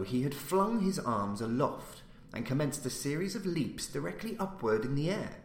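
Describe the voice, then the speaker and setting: A man reading classic horror fiction aloud as scripted audiobook narration, in a measured, formal storytelling manner. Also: mid-range voice, a little hiss or hum. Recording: roomy, echoey indoor sound.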